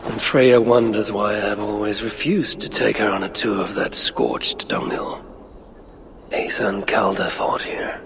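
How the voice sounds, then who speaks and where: Gravelly, grim Norse god muttering. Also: male voice, lowish voice, background noise. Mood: grim musing. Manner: muttering, measured, haughty, wry. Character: grim, sardonic, arrogant older Norse god, tracking enemies. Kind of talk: dramatic video game voice acting.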